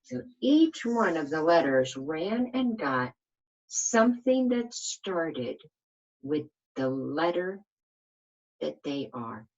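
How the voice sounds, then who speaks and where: A woman's voice: deep voice, big pitch swings, slow, darkish tone, lo-fi sound. A woman reading a children's storybook aloud like a kindergarten teacher, expressively and with questions for her listeners.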